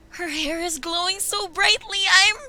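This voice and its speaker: A woman game streamer giving casual, excitable, sweary live reactions as she plays. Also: very high-pitched. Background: game music.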